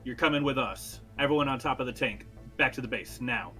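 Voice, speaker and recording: A man's voice: mid-range voice, a little fast, bright, forceful tone, soft background music, lightly acted. A man playing a decisive military captain who barks short orders in a tabletop game. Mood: commanding. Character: commanding male military squad captain, curt and decisive.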